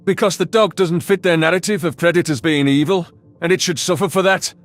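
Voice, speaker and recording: A man's voice: high-pitched, steady tone, brisk, faint music behind, pitched above the performer's own voice, lightly acted. A man voicing a blunt, embittered male marsupial alien in an audio story, with a grumbling way of talking. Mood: bitter, blunt.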